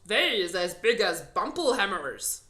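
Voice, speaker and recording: A friendly old storybook giant, played by a woman reader, talks in excitable, emphatic bursts with quaintly mangled grammar. The voice is bright and leaps around in pitch. It sounds in an echoey room. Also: measured pace. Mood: animated explaining. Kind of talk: children's storybook reading.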